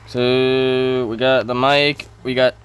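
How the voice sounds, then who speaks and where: A man giving casual role-play gameplay commentary in a halting way, thinking aloud as he hosts. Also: mid-range voice, level tone, smooth. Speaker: gaming video host.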